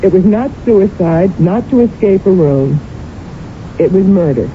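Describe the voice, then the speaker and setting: Tinny radio recording of an actress as a bitter, grieving comedienne. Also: female voice, medium pitch, lively intonation, a little slow, lightly acted. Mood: guilt-stricken, insistent. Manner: wry, brittle, dramatic. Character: bitter, grieving wife, a Broadway comedienne wracked with guilt. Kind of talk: radio drama acting. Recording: muffled, noisy old radio broadcast.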